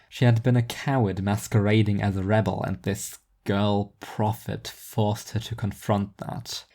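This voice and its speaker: Woman, literary fiction narrator, exceptionally low pitch, dark soft tone, measured, wry.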